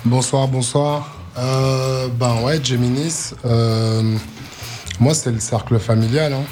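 A man speaks easily and casually in a radio studio as a reggae artist, with a conversational, unhurried manner. Clear music plays behind his voice. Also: medium pitch, fairly even intonation, a little mellow. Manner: laid-back, says "tu vois" often. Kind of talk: casual interview answer.

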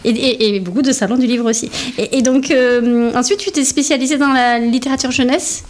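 A woman's voice: high voice, brisk. A woman hosting a local radio show, asking questions in a friendly way and laughing often. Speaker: radio host. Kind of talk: casual radio interview. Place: small local radio studio.

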